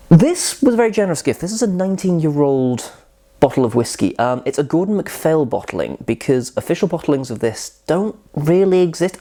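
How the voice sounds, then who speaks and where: A man's voice: mid-range voice, a little mellow. A man hosting a casual whisky review vlog, chatty and rambling, often poking fun at himself. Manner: chatty, rambling and self-deprecating.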